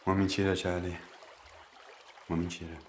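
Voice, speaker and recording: A man's voice: sits low, flat delivery, normal speed, slight background noise, voice pushed down, natural voice. A Brazilian man voicing an eccentric young time-travelling inventor, eager to explain, in an audio drama. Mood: grave.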